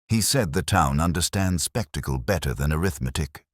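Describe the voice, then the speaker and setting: Aggrieved small man in a mystery tale, acted by a male reader; blurting, self-justifying, low voice, dry recording. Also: lively intonation, moderate pace, no room sound. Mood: injured righteousness.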